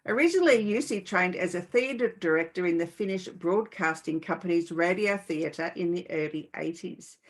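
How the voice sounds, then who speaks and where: A woman hosting a podcast, giving introductions and questions in a presenterly way with pauses mid-sentence. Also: lowish voice. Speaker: podcast host. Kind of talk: scripted introduction and interview questions.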